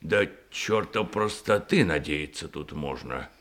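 An older actor playing a jovial, plain-minded provincial uncle in a radio verse comedy, hearty, mocking and drawling. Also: male voice, extremely low pitch, animated, a little slow, fairly bright tone, heavily acted. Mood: jovial, opinionated. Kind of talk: theatrical period verse comedy, radio play.

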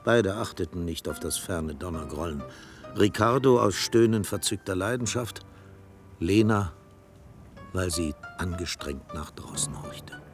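Deep-voiced male radio drama narrator. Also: a little slow, faint music behind. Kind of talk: dramatic literary narration in a German radio play.

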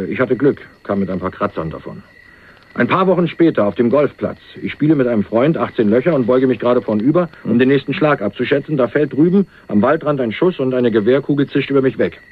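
An actor playing a hunted young millionaire on the run, wry and streetwise, talking in a dry, colloquial, confiding way in a crime radio play. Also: male voice, mid-range voice, husky. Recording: muffled phone-like narrowband radio recording.

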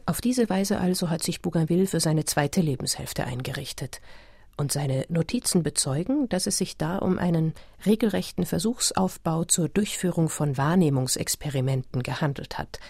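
A woman narrating a radio play in a measured, essayistic, literary manner. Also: deep voice, lively intonation, darkish tone, slightly rough, no echo, clean studio sound, heavily acted. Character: measured documentary narrator of explorer history.

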